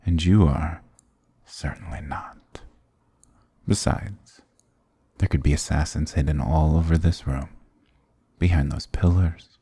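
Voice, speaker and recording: Male actor as dominant demon king, husky, dark mellow tone, sarcastic purr, close dry ASMR recording. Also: no echo, full character voice. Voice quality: very deep, gravelly, husky. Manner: sarcastic, teasing, menacing purr with low chuckles. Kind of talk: ASMR roleplay acting. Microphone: close, dry microphone.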